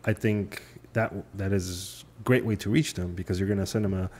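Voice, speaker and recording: A Polish-born man in his thirties, a native Polish speaker, chatting easily as a podcast guest, laughing often and pausing on fillers. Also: low-pitched, steady tone, darkish tone. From Poland. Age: 30s. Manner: easygoing, laughs often, many filler pauses. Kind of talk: casual interview chat. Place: home.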